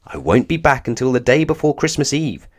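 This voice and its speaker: Bossy young storybook Christmas miss, acted by a man: firm, emphatic, easily exasperated, pitched up and animated. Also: a little fast.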